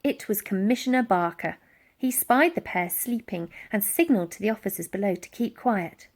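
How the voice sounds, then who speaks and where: A woman reading a children's storybook aloud as an expressive, dramatic narrator who acts out the sound words. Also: medium pitch, normal speed. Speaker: audiobook reader.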